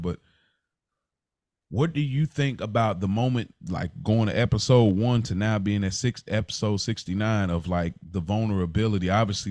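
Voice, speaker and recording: A man's voice: fairly low pitch, darkish tone, no room sound. Earnest man chatting on a podcast, close dry sound. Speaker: podcast co-host and interviewer. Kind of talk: casual, reflective podcast conversation.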